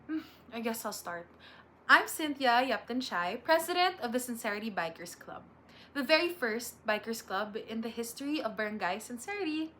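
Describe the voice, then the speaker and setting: A woman's voice: a little high, expressive, normal speed, fairly bright tone. A Filipino woman playing a chatty, proud and bossy college instructor in her thirties in an amateur dramatic reading. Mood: officious, proud. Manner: chatty, officious. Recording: faint background noise.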